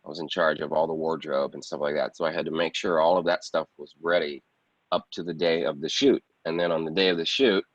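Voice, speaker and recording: Low-voiced man chatting in an echoey room. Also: steady tone, fairly quick. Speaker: guest, actor and film producer. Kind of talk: casual interview chat. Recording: roomy echo, slightly muffled sound.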